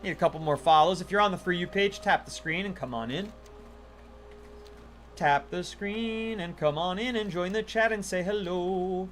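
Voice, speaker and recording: A man's voice: high voice, normal speed, fairly bright tone, soft background music. A man casually chatting on a gaming livestream, playful and talkative, thanking viewers as he plays. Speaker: livestream gamer.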